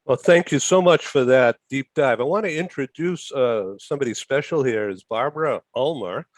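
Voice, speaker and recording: A man's voice: mid-range voice, normal speed, no room sound. A man hosting an online talk show, welcoming and introducing guests, with frequent hesitations. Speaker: host.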